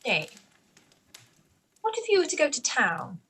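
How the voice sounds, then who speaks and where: A woman playing an earnest, opinionated young gentlewoman in a period radio play, speaking in a measured, articulate way, on a home recording. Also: sits high, huge pitch swings, full character voice.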